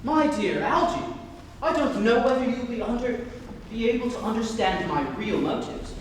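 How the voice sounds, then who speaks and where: A teenage male student playing an earnest, evasive and easily indignant young Victorian gentleman in a formal, theatrical dramatic reading. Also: extremely high pitch, lively intonation, normal speed. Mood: solemn, defensive. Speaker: student actor. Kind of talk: dramatic stage reading of a comedy. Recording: far from mic, long hall echo, noise.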